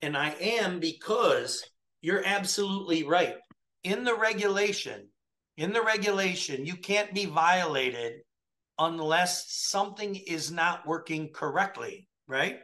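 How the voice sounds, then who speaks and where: Emphatic man coaching, bright voice. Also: high-pitched, animated, measured pace. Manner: emphatic, keeps checking in with "right?". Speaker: trucking compliance coach. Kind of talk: coaching review over a video call. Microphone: webcam video call.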